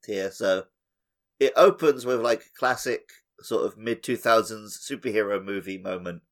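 A man's voice: on the low side, measured pace. A man chatting casually as a podcast host.

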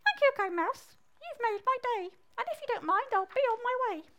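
A woman's voice: expressive, normal speed, fairly bright tone. A woman voicing a small, eager, curious frog in a rhyming children's story. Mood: grateful, curious. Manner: squeaky, exclaiming.

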